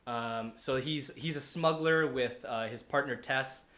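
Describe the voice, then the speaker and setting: A man with a mid-pitched voice talks through a story in a casual, unscripted way. He rambles and backtracks to fix his own wording. The recording has a noticeable room echo. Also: measured pace, a bit bright. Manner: rambling, often losing his thread and correcting himself. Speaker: podcast panelist pitching a movie adaptation. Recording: roomy, echoing room.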